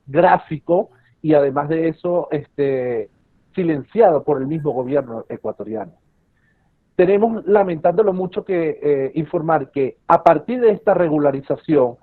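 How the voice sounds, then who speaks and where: A man from Caracas with a Venezuelan accent, a civil association representative giving an earnest, hesitant advocacy statement full of fillers over a video call. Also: fairly high pitch. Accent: Caracas Venezuelan Spanish. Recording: tinny phone-like line.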